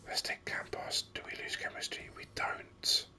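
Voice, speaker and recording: A gaming host giving ASMR commentary in a breathy whisper, musing in an unhurried, hesitant way close to the microphone. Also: pushed and bright.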